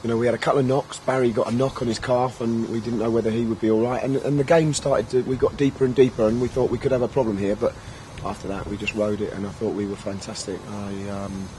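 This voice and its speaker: Man, 30s, football manager, post-match answers, measured and filler-heavy, recorders outdoors, light street noise. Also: mid-range voice, level tone, mellow. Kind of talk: post-match press interview. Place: outdoor press area by a sponsor backdrop. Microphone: handheld recorders held close.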